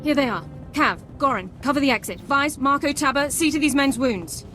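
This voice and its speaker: A woman's voice: high voice, brisk, bright, forceful tone. An actress playing a strict, formal female sergeant who speaks in clipped, precise military terms. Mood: commanding. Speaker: squad sergeant. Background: music.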